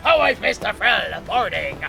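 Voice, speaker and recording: An actor playing a bold, boisterous old pirate captain, theatrical and commanding, in a cinematic audio adventure. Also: male voice, high voice, sing-song, moderate pace, gravelly, over loud music, full character voice, poor audio. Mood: rousing, exultant. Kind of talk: cinematic audio roleplay acting.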